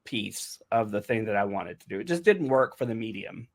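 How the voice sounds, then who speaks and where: A man's voice: fairly low pitch, expressive, moderate pace. A man talking informally and casually as a podcast host about making an audio drama. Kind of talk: casual behind-the-scenes podcast chat.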